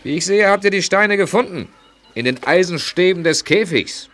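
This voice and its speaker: A man's voice: high voice, animated, normal speed, a bit bright. A man playing a dry, knowing male customs investigator in a radio play. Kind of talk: amateur radio play acting.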